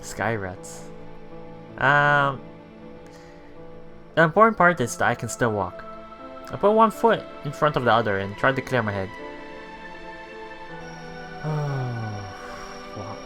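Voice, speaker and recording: Bright-voiced man reading haltingly, animated. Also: medium pitch, soft background music. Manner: halting, often repeating and correcting words. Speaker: let's play host. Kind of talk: reading a visual novel aloud with casual commentary.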